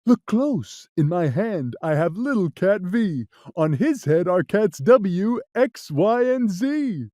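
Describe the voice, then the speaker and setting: Lady putting on a deep showy cat, super sure of himself, slow and swoopy, dry close sound. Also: sing-song, dark tone, dry recording. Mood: boastful. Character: tall sly grinning cat, boastful magician. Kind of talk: storybook reading.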